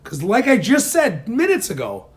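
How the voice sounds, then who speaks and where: Teasing booming-voiced man in a garage. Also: normal speed. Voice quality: booming, projecting voice. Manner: teasing, sarcastic, animated. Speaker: podcast guest. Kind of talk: casual chat. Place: home garage. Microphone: phone held away from the face.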